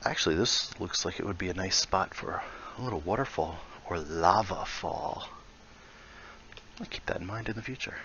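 Low-voiced man muttering through a VR tutorial. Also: normal speed, dull audio, a little hiss or hum. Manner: relaxed, thinking aloud, muttering asides. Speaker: VR building tutorial creator. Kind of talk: casual tutorial while building in VR.

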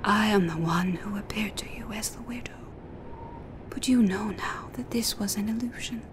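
A woman voicing a sorrowful female snow spirit in a soft, ghostly whisper. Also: mid-range voice, moderate pace, background noise, lightly acted. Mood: soft, remorseful.

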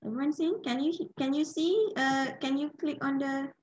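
A Malaysian woman lectures in a fairly high voice to an online class. She is informal and checks often that everyone follows. The sound is slightly muffled, as from a headset on a video call. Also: normal speed, a little hiss or hum. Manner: informal, checks often with listeners, tags with "yeah?" and "okay?". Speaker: university lecturer leading an online training. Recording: dull, lo-fi video-call audio.

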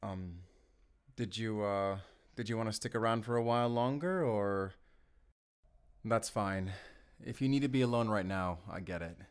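Man acting gentle father in echoey room. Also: lowish voice, normal speed, lightly acted. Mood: gentle, concerned. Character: gentle, considerate father and friendly neighbour. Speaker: voice actor in audio drama. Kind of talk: naturalistic audio roleplay acting. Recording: echoey, reverberant, loud music bed.

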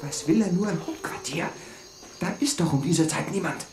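A man's voice: fairly high pitch, normal speed, darkish tone, roomy sound, slight background noise, lightly acted. An actor playing an eager, impulsive young male deputy in a children's audio drama. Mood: hushed, anxious.

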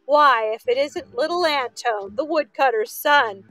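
A woman's voice: high-pitched, lively intonation, measured pace, fairly bright tone, no room sound, faint music behind, exaggerated voice, poor audio. Woman voicing a stout, hearty talking storybook cabbage. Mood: jolly, boastful. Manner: exclaiming, hearty.

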